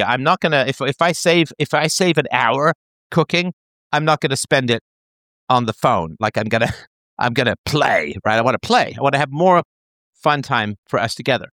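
A man's voice: medium pitch, normal speed, no room sound, studio quality. A man in his thirties in a casual chat, explaining an idea playfully as if talking to a child. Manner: animated, playful, talks as if to a child.

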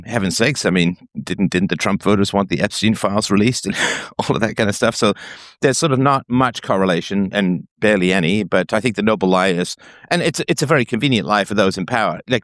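Opinionated man with a low voice. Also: a little fast, no room sound, studio quality. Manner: emphatic, opinionated, pitch swings up when excited. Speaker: podcast host. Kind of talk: casual philosophical call-in chat.

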